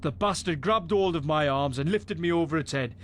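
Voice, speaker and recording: An actor playing a boisterous, rough British adventurer in a fantasy game, telling tales in a salty, wry way. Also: male voice, on the high side, fairly quick, fairly bright tone, slight background noise, close to an everyday voice. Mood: amused, boastful. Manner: salty, foul-mouthed, wry storyteller. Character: boisterous rough male adventurer spinning a monster tale. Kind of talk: in-character storytelling in a fantasy game.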